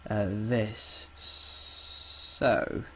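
A man with a cold, sounding a little croaky, thinking aloud through a coding tutorial with hesitant pauses. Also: on the low side, steady tone, pushed and bright. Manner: thinks aloud while typing, hesitant, chuckles at mistakes. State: has a cold, slightly croaky. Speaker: game development tutor. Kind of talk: casual screen-recorded coding tutorial. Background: keyboard typing.

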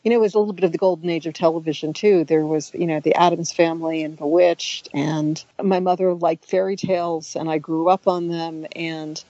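Low-pitched woman in a chatty interview. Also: fairly even intonation, fairly quick, hardly any pauses, no room sound, lo-fi sound. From United States. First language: Hungarian. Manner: chatty, many restarts and asides, laughs softly. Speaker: author and guest. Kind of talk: relaxed conversational interview.

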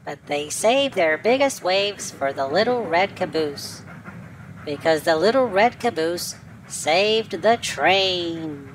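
Lady reading a kids picture book, really slow and clear, lots of up and down, lowish voice. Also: expressive, a little hiss or hum. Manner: clear, deliberate enunciation. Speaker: children's storybook narrator. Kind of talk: read-aloud storytelling for preschoolers.

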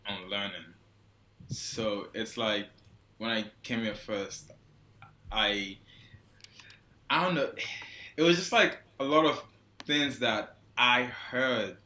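Young Nigerian man, bright roomy voice. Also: on the low side. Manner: loose and hesitant, heavy use of "like" and restarts. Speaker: guest photographer. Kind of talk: casual interview answers. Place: small indoor room. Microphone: wired earphone mic on a video call. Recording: roomy echo.

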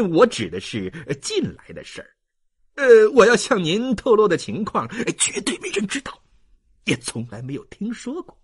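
A narrator acting a husky, hesitant, sly swindler. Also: mid-range voice, full character voice. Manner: hesitant, stalling with many drawn-out ers. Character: sly, wheedling male swindler informing on someone. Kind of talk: audiobook character acting.

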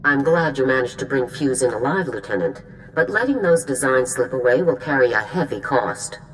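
A woman playing a stern, composed army general in a video game, speaking in a measured, formal, authoritative way. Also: very deep voice, expressive, a little hiss or hum, low-quality recording. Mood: measured approval. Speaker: military general.

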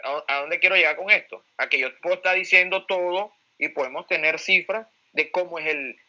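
A man's voice: a little high, a little fast, bright, forceful tone. A Venezuelan man giving political commentary as a pollster, emphatic, repeating key phrases and posing rhetorical questions. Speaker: pollster, guest speaker.

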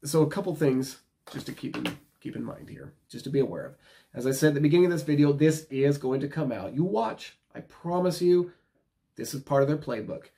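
A man's voice: fairly high pitch, brisk, creaky, warm tone. An American man in his thirties giving opinionated, casual commentary to camera, emphatic and repeating his points for stress, recorded indoors in a small room. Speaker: video commentator.

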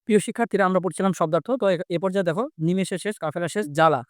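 Male Bangladeshi Bengali teacher, slightly high voice, dry close sound, explains conversationally and quizzes with repeated questions. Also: racing, no echo. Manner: conversational, repeats phrases and asks check questions. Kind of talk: interactive vocabulary lesson, explaining and quizzing.

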